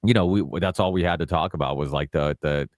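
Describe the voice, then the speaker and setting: Very deep-voiced Portuguese-born host. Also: male voice, brisk, no echo, clean studio sound. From northern Portugal. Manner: easygoing, chatty, many fillers. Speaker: podcast host. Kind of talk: casual interview chat. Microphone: video call.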